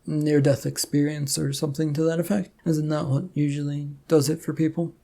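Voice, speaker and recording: Flustered storybook man, acted by the narrator: mellow, rough-edged voice, halting, repetitive, awkwardly skeptical. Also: medium pitch, flat delivery. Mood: baffled. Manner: hesitant, stammering, repeats words.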